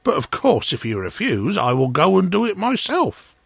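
A man's voice: high-pitched, wildly swooping pitch, moderate pace, gravelly, a bit bright, breathy edge, dry recording, pitched above the performer's own voice, heavily acted. A man voicing a smug, self-righteous miller in a storybook tale, lecturing in a pompous, preachy manner. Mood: pushy, self-important. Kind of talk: storybook character voice.

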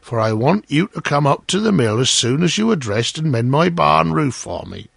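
A man's voice: on the high side, big pitch swings, normal speed, creaky, breathy edge, no echo, clean studio sound, exaggerated voice. A man voicing a smug, self-righteous miller in a storybook tale, lecturing in a pompous, preachy manner. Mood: pushy, self-important. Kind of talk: storybook character voice.

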